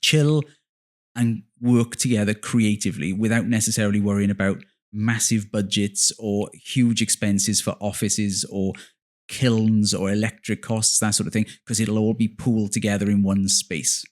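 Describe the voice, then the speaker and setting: A man speaking as a guest on a podcast about his plans, earnest and enthusiastic, with "um" fillers and quick self-corrections. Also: fairly low pitch, fairly even intonation, a little mellow. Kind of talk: casual interview chat.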